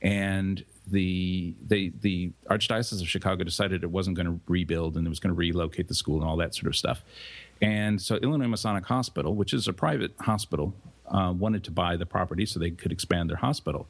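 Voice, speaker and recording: A man co-hosting a podcast, talking things through in a measured, explanatory way. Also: sits low, fairly even intonation, no echo. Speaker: podcast co-host. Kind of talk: casual podcast discussion.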